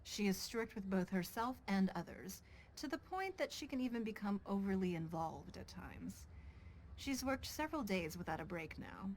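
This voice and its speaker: An actress playing a composed, dignified city leader in a video game, formal, gracious and measured. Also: female voice, mid-range voice, lively intonation, darkish tone, slight background noise, natural voice, low-quality recording. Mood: concerned, gracious. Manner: formal, poised. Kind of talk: English video game voice acting.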